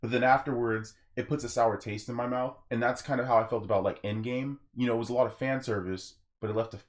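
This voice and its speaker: A man's voice: medium pitch, fairly even intonation, quick pace. A young man hosting a gaming podcast, talking casually and giving his opinions in rambling stories with acted-out asides. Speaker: podcast host.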